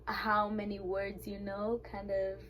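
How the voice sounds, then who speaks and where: A woman's voice: medium pitch, completely flat delivery, measured pace. A young woman from Kenya whose first language is Swahili, answering interview questions in a hesitant, casual way with many fillers. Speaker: interview guest.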